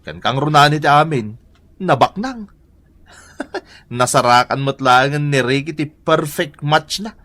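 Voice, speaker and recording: A man's voice: fairly high pitch, lively intonation, a little slow, pauses often, a bit bright. A man acting a cheerful, teasing family friend in a Filipino radio comedy drama, chatty and with an Ilocano accent. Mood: gossipy, delighted.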